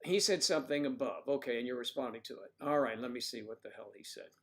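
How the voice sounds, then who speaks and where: A man hosts a casual livestream from home, reading out viewers' comments. He rambles and cracks jokes as he greets people in the chat. His voice sits at an ordinary middle pitch. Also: fast, a bit bright. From United States. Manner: chatty, jokey, rambling, talks to viewers by name.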